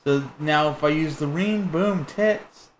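A man chatting on a game stream, his voice sitting slightly high. He rambles and jokes crudely with a friend as he plays. A faint hiss lies under the recording. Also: slow. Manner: joking, crude, rambling banter. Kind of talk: casual gaming chat.

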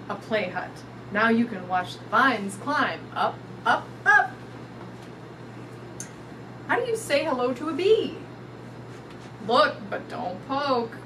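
A middle-aged woman reading a picture book aloud to young children in an animated, sing-song way. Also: on the high side. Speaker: storytime reader. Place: indoors in front of a curtain backdrop. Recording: distant mic with clear background noise.

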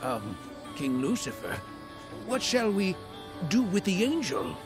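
An actor playing a loyal, fussy elderly demon attendant and storyteller in a video game. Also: male voice, high voice, huge pitch swings, takes it slowly, heavily acted. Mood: timid. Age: older. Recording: music behind the voice.

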